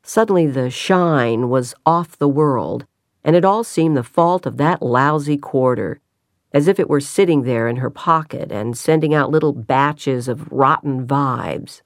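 A woman narrating a novel in an even, measured way, with quiet dialogue parts. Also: a bass voice, dry recording, clean studio sound. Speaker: audiobook narrator. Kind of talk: scripted narration.

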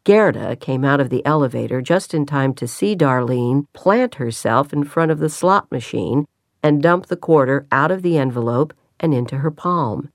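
A woman narrating a novel in an even, measured way, with quiet dialogue parts. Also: extremely low pitch, dry recording, clean studio sound. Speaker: audiobook narrator. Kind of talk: scripted narration.

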